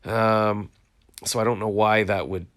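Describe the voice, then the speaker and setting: A man's voice: fairly low pitch, flat delivery, a little slow, fairly bright tone, clean tone. A congested man chatting casually to viewers on a phone live stream, frustrated and rambling. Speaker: vlogger hosting a live stream. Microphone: phone, live stream from home.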